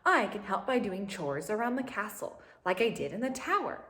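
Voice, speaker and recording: A woman's voice: medium pitch, big pitch swings, moderate pace, a bit bright, roomy sound. A woman voicing a kind, earnest young princess in a children's storybook, speaking expressively. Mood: eager.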